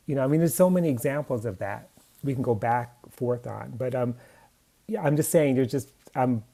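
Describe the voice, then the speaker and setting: A man with a gravelly, husky, mellow voice moderates an online question session. He talks informally and haltingly, breaking off in mid-phrase. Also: mid-range voice.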